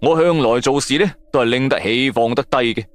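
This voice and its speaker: A man's voice: medium pitch, a little fast, no echo. A man narrating a first-person audio drama in Cantonese, in a dramatic scripted storytelling style.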